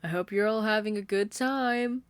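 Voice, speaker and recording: A reader voicing a sweet, sing-songy teenage girl hosting a party, loud and bubbly, in a dry home recording. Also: medium pitch, normal speed, smooth, dry recording, pitched above the performer's own voice, close to an everyday voice. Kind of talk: fan fiction reading.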